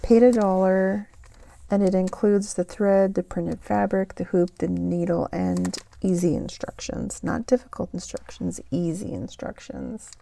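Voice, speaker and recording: Woman vlogger, relaxed haul chat, gravelly and dark, a bit hoarse. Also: on the low side, slight background noise. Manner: slow, unhurried, chatty with fillers. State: voice getting raspy. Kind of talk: casual haul show-and-tell.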